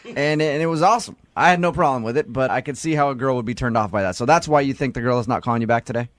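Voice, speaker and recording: A man's voice: medium pitch, rapid-fire, no echo, studio quality. A man hosting a morning radio show, bantering in a joking, teasing way.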